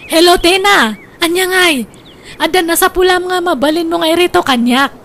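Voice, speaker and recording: A woman playing an excitable, man-hungry older sister in a comedy radio drama, exclaiming theatrically. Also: very high-pitched, normal speed, soft background music. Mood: eager, flustered. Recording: faint background noise.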